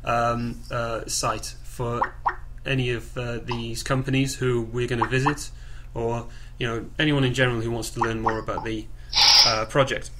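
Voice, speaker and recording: A man informally presenting to a group on a conference call, haltingly and with repeated words and phrases. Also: mid-range voice, flat delivery. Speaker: project coordinator leading a group call. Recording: clearly noisy conference call recording.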